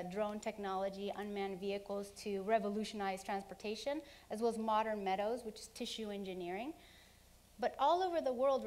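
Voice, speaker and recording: A middle-aged woman who grew up in Honduras, giving an earnest conference keynote and running through examples in quick lists. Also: medium pitch. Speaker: keynote speaker. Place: conference hall.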